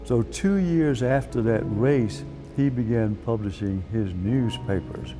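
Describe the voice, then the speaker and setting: A man's voice: medium pitch, slow. Man telling history over guitar music. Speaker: documentary interviewee, history expert. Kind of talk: storytelling interview talk.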